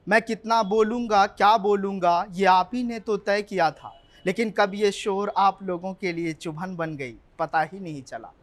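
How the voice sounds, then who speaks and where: A man's voice: sits high, unhurried, hardly any pauses. A man presenting scripted television news in a dramatic manner as the anchor.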